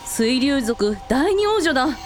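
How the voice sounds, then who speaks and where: A woman playing a brash, hot-blooded elder sister and shrine priest in a radio drama, bossy and rough-mouthed. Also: high voice, very animated, moderate pace, very noisy. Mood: astonished.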